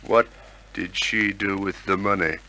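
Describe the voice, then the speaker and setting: Low, sly radio gangster. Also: male voice, fairly even intonation, measured pace, bright, forceful tone, heavily acted. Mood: threatening. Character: menacing, smooth-talking male gangster, coolly bullying. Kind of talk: old-time radio crime drama acting.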